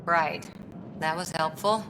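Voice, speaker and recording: Game squadmate: lowish, composed, sardonic female officer, noisy backdrop. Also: steady tone, takes it slowly. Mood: dry. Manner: dry, sardonic. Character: dry, composed female explorer team officer.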